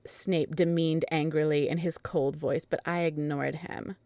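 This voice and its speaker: A woman's voice: low voice, steady tone, measured pace, warm tone, no room sound. A woman narrating a comedic dramatic reading of fan fiction.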